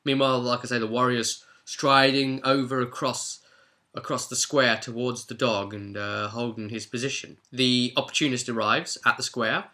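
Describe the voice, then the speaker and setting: Male game master, informal storytelling recap, animated and talkative, sharp bright tone. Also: medium pitch, normal speed. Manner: chatty, acts out players' exchanges. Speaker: game master recapping a role-play session.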